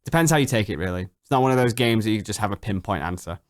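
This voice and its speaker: Young male streamer, lowish voice, loose and swearing, dry close mic. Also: fast talker, dry recording. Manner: chatty, swears freely, jumps up in pitch when excited. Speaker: YouTuber answering viewer questions. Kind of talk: casual late-night livestream chat. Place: home at night.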